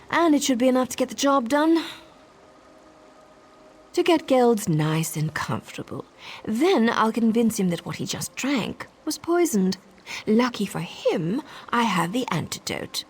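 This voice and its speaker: A woman's voice: mid-range voice, vocal fry, slight background noise. A woman playing a sly, mischievous female agent in a video game, speaking with sardonic, conspiratorial relish. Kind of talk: video game character dialogue. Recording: music behind the voice.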